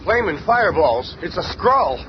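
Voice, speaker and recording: An actor playing an enthusiastic, boyish young superhero in a radio play. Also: male voice, sits high, big pitch swings, normal speed, noisy background. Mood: eager, impressed. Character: eager, enthusiastic young male fiery superhero. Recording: dull radio play recording, music behind.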